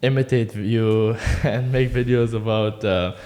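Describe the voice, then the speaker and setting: A man co-hosting a casual podcast, asking questions with quick, excitable reactions. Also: on the low side. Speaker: podcast co-host.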